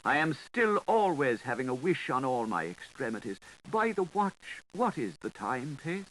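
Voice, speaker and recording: A man's voice: mid-range voice, very animated, normal speed, a bit bright, slight background noise, poor audio. A man voicing a meek, fawning talking creature with a thin, whining, pleading delivery in an audiobook. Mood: obsequious. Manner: obsequious, pleading.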